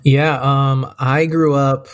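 A man's voice: medium pitch, level tone, slow pace. A man from Oregon, a pastor, talking casually about his life with fillers and restarts. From Oregon, USA. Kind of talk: conversational interview answers.

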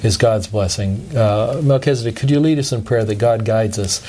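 A man's voice: on the low side, moderate pace, dark tone, slight background noise. A man hosting a studio talk show, asking his guest questions in a friendly, conversational way.

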